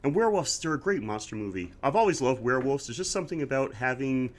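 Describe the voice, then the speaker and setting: A man's voice: medium pitch, fast. A man co-hosting a casual podcast, talking at length with a congested, slightly scratchy voice. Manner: relaxed, talkative, reviews with prepared facts. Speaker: podcast co-host.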